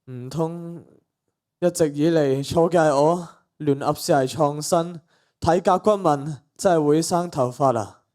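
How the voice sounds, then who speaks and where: A man's voice: fairly high pitch, a little slow, a little mellow, natural voice. A teenage boy with a Cantonese accent playing an exasperated, principled young content creator in a radio play, with a sighing, grumbling manner. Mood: defeated. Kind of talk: student radio play acting.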